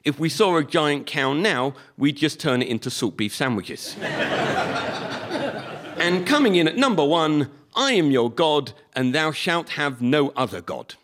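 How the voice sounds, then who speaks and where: A man's voice: on the high side, lively intonation, unhurried, fairly bright tone. Wry Liverpool-accented man doing stand-up. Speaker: comedian. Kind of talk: stand-up comedy monologue. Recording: live recording with audience noise.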